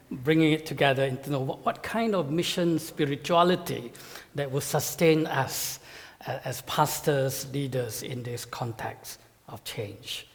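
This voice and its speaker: A man giving a conference talk to pastors as a guest speaker, with a measured, halting delivery full of fillers and repeated words. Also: on the high side. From Malaysia. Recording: reverberant hall sound.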